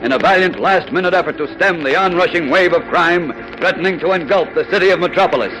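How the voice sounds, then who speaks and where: A man's voice: sits high, quick pace, rough recording. A man narrating as a dramatic, declamatory announcer in 1940s radio style. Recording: old radio recording with loud music.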